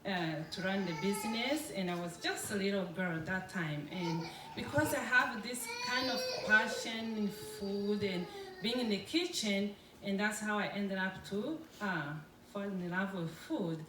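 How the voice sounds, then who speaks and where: Slow, halting woman with a French accent. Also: mid-range voice, background noise. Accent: French-influenced. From Congo. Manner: hesitant, halting, many pauses and fillers. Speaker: food entrepreneur speaker. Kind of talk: public talk. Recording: roomy, distant from the mic, faint background ambience.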